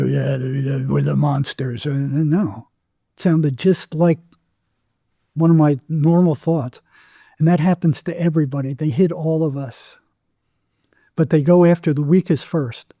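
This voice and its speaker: A man's voice: fairly high pitch, warm tone, dry recording, studio quality. A man speaking as an interview guest, telling anecdotes and explaining with emphatic, repeated phrasing. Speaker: psychotherapist, podcast guest.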